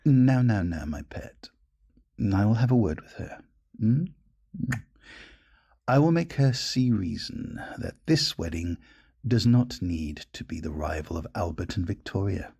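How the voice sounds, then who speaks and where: A suave schemer of a gentleman acted by a man talks slowly in a low, husky voice. He is measured and soothing, a seductive groom from an audio drama. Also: animated, heavily acted. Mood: soothing, scheming. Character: smooth, conniving gentleman groom, seductive and placating. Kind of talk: dramatic audio roleplay acting.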